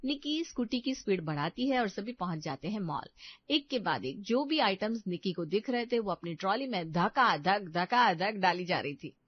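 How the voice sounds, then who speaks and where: A woman's voice: mid-range voice, lively intonation, moderate pace, dry recording, dull audio. A woman narrator reading a scripted family story.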